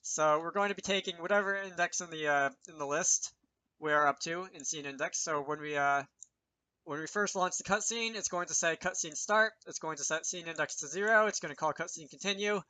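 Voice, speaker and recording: Guy doing a screen recorded coding tutorial, kinda high crisp bright voice, thinks out loud, pokes fun at himself. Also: fairly quick. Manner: self-deprecating asides while explaining. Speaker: game programming tutorial presenter. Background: keyboard typing and mouse clicks.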